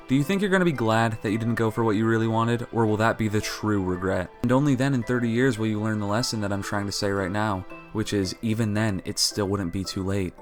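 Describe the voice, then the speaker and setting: A young man in his early twenties giving an earnest, pleading motivational talk to his viewers. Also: lowish voice. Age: young adult. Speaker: YouTuber. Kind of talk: motivational talk over gameplay.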